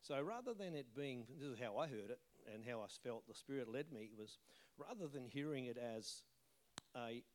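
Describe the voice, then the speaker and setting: A man preaches in a church auditorium at a mid pitch, chatty and self-deprecating, then he lifts sharply on the words he wants to land. A faint croak from a fading cold lingers in his voice. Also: animated, normal speed, darkish tone, slight background noise. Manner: conversational, joking asides, emphatic rises on key words. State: tail end of a cold, occasional croak. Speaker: visiting pastor. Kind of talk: sermon with prayer.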